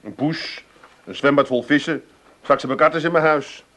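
An actor playing a bewildered, exasperated grown son in a comic radio play, sarcastic and often stammering out repeats. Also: male voice, fairly high pitch, normal speed, fairly bright tone, lightly acted.

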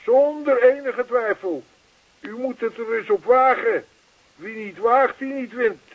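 A man's voice: very high voice, a little slow, dry recording, exaggerated voice. A man playing an earnest, chatty older neighbour in a radio play, persistent, reassuring and long-winded. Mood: eager, persistent. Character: earnest, chatty older male neighbour zealous about yoga. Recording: thin, phone-like old radio play recording.

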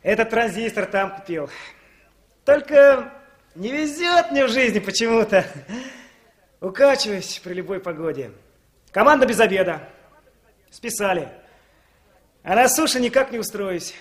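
A man's voice: sits high, expressive, measured pace, long pauses. A man playing a talkative, brash young cook down on his luck in a 1960s radio drama, boastful and plaintive. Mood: aggrieved storytelling. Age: young adult.

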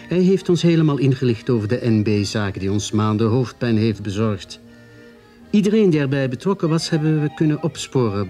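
An actor playing a polite, dutiful police sergeant with a matter-of-fact manner in a radio drama. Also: male voice, medium pitch, dark tone, lightly acted.

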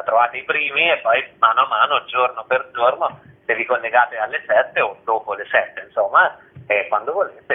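A man's voice: medium pitch, breathy edge. A man speaking conversationally as a theatre organiser being interviewed on the radio. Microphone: telephone. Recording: narrow, lo-fi phone line.

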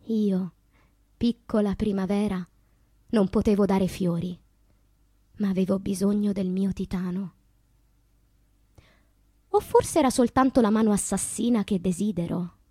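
An actress playing a passionate, wounded woman poet confessing her loves in a theatrical, poetic radio monologue, moving between hushed and impassioned delivery. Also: medium pitch, unhurried, long pauses, slightly rough, no echo. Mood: sensual, aching.

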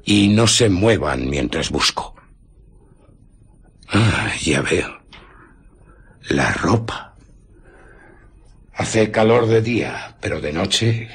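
Radio-play villain: cold invisible man, threatening and commanding, very husky, with wide swings of pitch. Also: very animated, slow pace, pauses often, a bit bright, heavily acted. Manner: threatening, commanding, mock-polite. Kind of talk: radio drama acting.

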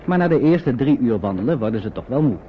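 A man's voice: mid-range voice, expressive, quick pace, slight background noise, natural voice. An actor narrating a scripted radio drama about wartime refugees in a man's storytelling voice. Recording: muffled phone-like old radio recording.

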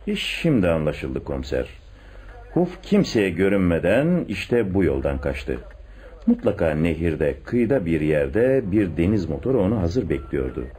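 An actor playing a confident police chief inspector in a radio play, with an expressive, deliberate theatrical delivery. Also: male voice, low voice, breathy edge, heavily acted. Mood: confident, reasoning. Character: male police chief inspector, secretly in love, confident theorist. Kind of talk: Turkish radio theatre acting.

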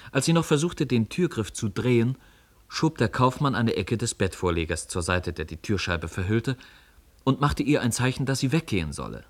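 A man's voice: mid-range voice, sing-song, normal speed. A man narrating a story for a radio play in scripted storytelling style.

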